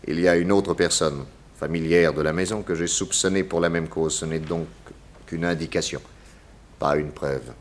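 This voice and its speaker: Actor as deep-voiced, commanding detective. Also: male voice, fairly even intonation, natural voice. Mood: accusing, emphatic. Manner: theatrical, deliberate, accusatory, lecturing. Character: shrewd, authoritative detective exposing a murderer. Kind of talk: 1960s French radio drama acting.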